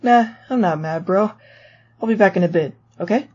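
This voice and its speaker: A woman's voice: mid-range voice, moderate pace, darkish tone, breathy edge, close to an everyday voice. A woman playing a protective, wisecracking teenage skeleton big brother, casual and tender, in a fan comic dub. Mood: tender, proud. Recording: muffled lo-fi audio over music.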